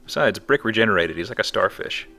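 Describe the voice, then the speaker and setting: A male let's play host talking casually over a game. His voice is on the low side, crackly with fry and bright, and his delivery is wry. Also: animated, fast talker, soft background music.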